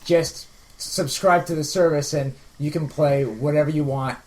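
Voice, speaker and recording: A man's voice: fairly high pitch, fairly even intonation, normal speed, a little mellow. A man on a podcast discussing tech casually, explaining things in an earnest, enthusiastic way. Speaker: podcast co-host. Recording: noisy room, clicks behind.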